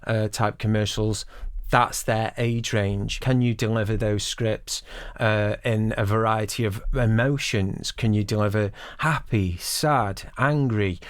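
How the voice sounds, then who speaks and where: A man's voice: on the low side, steady tone, unhurried, no echo, clean studio sound. A man in his forties giving instructional advice as a voice-over producer, explaining things directly to the listener. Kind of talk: instructional talk to camera.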